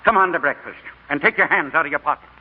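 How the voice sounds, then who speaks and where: A man's voice: on the high side, quick pace, phone-quality sound. An actor playing a stern, scolding middle-aged American father in a 1940s radio drama. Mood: exasperated, scolding. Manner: scolding, gruff. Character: grumpy, stern middle-aged father, exasperated by his son. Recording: reverberant, thin old radio broadcast.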